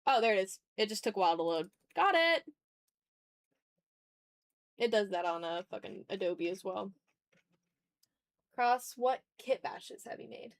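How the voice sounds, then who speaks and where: A woman's voice: mid-range voice, expressive, unhurried, pauses often. A woman livestreaming as she draws, chatting casually with viewers and muttering to herself as she talks through each step. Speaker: art streamer. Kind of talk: casual livestream chat while drawing.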